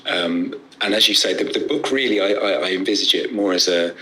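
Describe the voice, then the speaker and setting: Deep-voiced male author, hesitant answers. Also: a little fast, pushed and bright, low-quality recording. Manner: hesitant, many fillers and repeated words. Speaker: author, interview guest. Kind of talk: interview answer.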